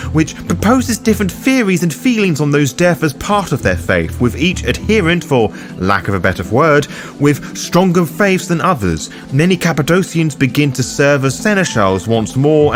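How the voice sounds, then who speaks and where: Male lore guide narrator with lively pitch. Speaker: host of a tabletop roleplay guide. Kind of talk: scripted explainer narration.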